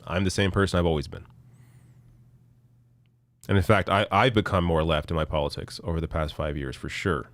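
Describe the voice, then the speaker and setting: Deep-voiced hoarse man, blunt livestream host. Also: steady tone, brisk, long pauses, no echo. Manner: blunt, profane, frequent restarts and fillers. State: hoarse, losing his voice. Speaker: political show host reading viewer chat. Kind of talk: casual live-stream commentary.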